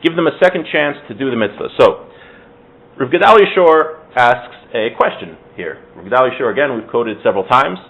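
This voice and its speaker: A man's voice: mid-range voice, expressive, brisk, breathy edge, muffled recording. A man giving an informal religious lesson in a conversational, explanatory way, as a teacher reading verses and translating them. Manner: conversational, explanatory, with rhetorical questions and self-corrections. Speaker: teacher giving a Torah class.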